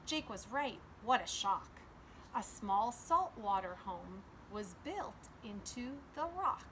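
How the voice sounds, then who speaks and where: A woman's voice: mid-range voice, lively intonation, slow pace, a bit bright, slight background noise, poor audio. A woman preschool teacher reading and explaining simply and instructively to young children. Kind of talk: children's read-aloud and simple lesson.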